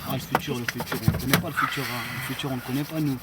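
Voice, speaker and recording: A young man interviewed outdoors on a city street, talking in a slangy, halting way and repeating himself. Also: mid-range voice, fairly even intonation. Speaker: local youth interviewed on the street. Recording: loud street traffic behind.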